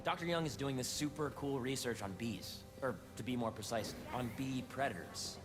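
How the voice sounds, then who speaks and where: A man's voice: medium pitch, normal speed, music in the background, lightly acted. Young company heir, earnest, upbeat, tour-guide energy. Male actor, game cutscene. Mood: enthusiastic. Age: young adult. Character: eager young heir giving a lab tour. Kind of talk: video game cutscene acting.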